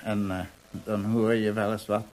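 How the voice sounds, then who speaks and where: A man's voice: on the low side, completely flat delivery, moderate pace, darkish tone, lightly acted. A man as a scornful theatre busybody, faint hiss. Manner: disdainful, sardonic, knowing. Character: scornful, moralising, nosy theatre prompter or inspector. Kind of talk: radio play acting. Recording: noisy archived radio play recording.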